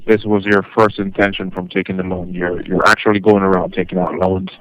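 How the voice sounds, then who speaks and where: A man acting as a debt collection manager on the phone, explaining formally in a halting, wordy way. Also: low voice, level tone, fairly bright tone, low-quality recording. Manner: halting, wordy, repeats himself. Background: music.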